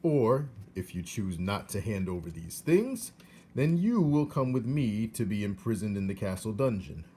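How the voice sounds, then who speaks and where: Man playing stern, low-voiced royal soldier. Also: sing-song, normal speed, dark tone, a little hiss or hum. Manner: formal, emphatic, official. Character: stern, dutiful king's soldier delivering royal orders. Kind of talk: storybook character reading.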